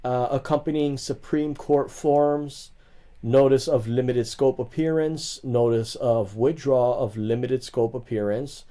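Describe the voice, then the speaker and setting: A young man hosts a casual livestream, reading legal rules aloud and breaking off to chat with viewers. His voice is mellow and dark at a middle pitch. He reads in quick bursts with small pauses between passages. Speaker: livestream host.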